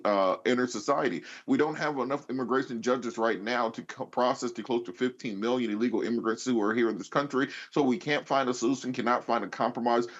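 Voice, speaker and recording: A man's voice: mid-range voice, lo-fi sound. An American man giving assertive, off-the-cuff political commentary as a panelist. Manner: assertive, opinionated.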